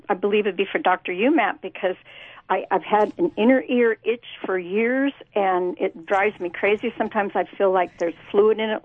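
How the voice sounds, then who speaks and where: A woman's voice: medium pitch, no echo. Woman calling on a phone line. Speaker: caller. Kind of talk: casual, rambling phone question. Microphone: telephone. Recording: narrow, dry phone line.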